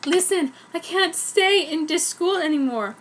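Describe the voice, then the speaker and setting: A woman acts out a teenage goth girl full of angst and melodrama. The voice is very high and exclamatory, with overwrought delivery suited to a parody fan fiction reading. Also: lively intonation, slight background noise. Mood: defiant, miserable. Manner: overwrought, exclamatory, deliberately mangled words.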